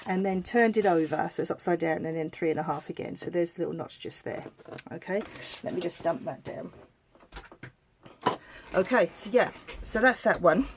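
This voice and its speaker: Female craft presenter, slightly low voice, coming down with a cold, chatty and meandering, casual how-to delivery. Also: moderate pace, a little hiss or hum. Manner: chatty and rambling, corrects herself mid-sentence. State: has a head cold coming on. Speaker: craft tutorial host. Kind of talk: casual step-by-step craft demonstration.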